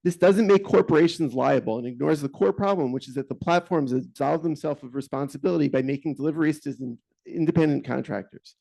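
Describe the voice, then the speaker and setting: Man with a gravelly voice making a pointed argument at a public meeting. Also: a little high, fast talker, few pauses, warm tone, low-quality recording. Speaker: public speaker at community board meeting. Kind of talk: prepared public comment. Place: meeting hall.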